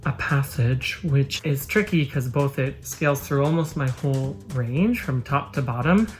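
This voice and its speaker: A man's voice: medium pitch, a little slow, faint music behind. A man, an opera singer, explaining his score preparation to camera in a thoughtful, explanatory way. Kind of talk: vlog talking to camera.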